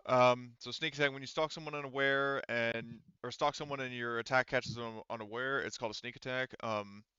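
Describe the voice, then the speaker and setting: A man acts as game master for a tabletop roleplaying session. His voice is bright and clear, in a dry recording. He talks casually, with some swearing, as he explains scenes and rules. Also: mid-range voice, steady tone, brisk, dry recording, lo-fi sound. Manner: casual, profane.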